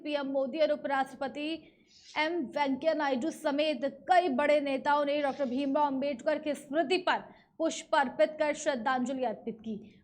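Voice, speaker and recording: A woman with an Indian accent presenting scripted news stories as a narrator. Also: high-pitched.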